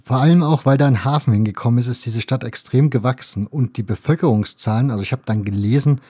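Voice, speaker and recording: A man's voice: mid-range voice, fast, dark tone, husky, dry recording, clean studio sound. A man hosting a podcast, asking questions in a conversational interview style.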